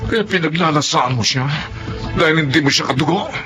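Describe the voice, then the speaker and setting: Wounded, protective husband, higher-pitched male voice, acted. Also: expressive, close to an everyday voice. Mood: angry, pleading. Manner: earnest, halting, heavy with emotion. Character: wounded, protective husband confronting his wife's affair. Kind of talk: Tagalog radio drama acting. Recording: faint music behind the voice.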